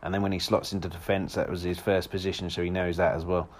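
A man with a hoarse voice, hosting a solo football podcast in a rambling, casual way with lots of restarts. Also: sits low, little pitch movement, quick pace, darkish tone. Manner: rambling, frequent restarts and fillers.

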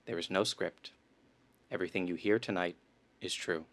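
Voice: sits low, normal speed, a bit bright. A performer playing a pompous, tyrannical theater director in a comedic radio play, declaiming grandly and with exasperation. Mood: solemn announcing.

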